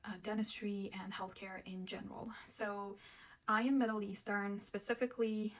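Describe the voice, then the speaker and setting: A woman's voice: medium pitch, little pitch movement, moderate pace. A young woman who works as a dental hygienist, from Afghanistan, telling a personal story in a casual, rambling way with many fillers and restarts.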